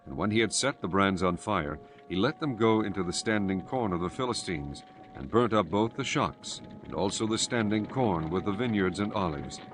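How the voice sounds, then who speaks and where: A man's voice: low-pitched, lively intonation, moderate pace, noisy background. A man reading scripture as a scripted narrator, solemn and formal. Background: prominent music.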